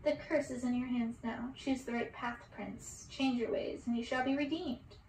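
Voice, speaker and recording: A cold, angry enchantress from a storybook, played by a woman. She speaks high and solemnly, with menacing command, from a roomy space with a little hiss. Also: steady tone, moderate pace, a little mellow, close to an everyday voice. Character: stern, cold, angry enchantress laying a curse. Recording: distant roomy mic with background hiss.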